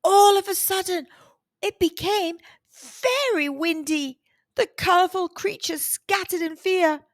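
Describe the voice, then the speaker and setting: A woman hosting a children's storytime, reading aloud expressively with deliberate, dramatic emphasis. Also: very high voice, no echo, studio quality. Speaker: children's storytime host and narrator.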